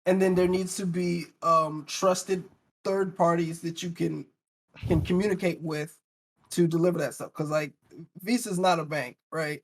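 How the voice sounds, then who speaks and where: A man's voice: on the high side, steady tone, unhurried. A man talking casually in a group discussion as a podcast panelist.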